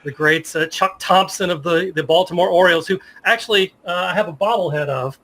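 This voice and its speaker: A man's voice: high voice, fairly quick, fairly bright tone. An American man in his fifties telling stories in a casual interview, with an enthusiastic, reminiscing manner. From Virginia, United States. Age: 50s. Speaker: interview guest, sports media professional.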